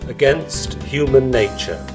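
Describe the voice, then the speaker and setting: A man's voice: medium pitch, expressive, slow pace. A man delivering scripted dramatic narration as the narrator of an audio play. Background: music.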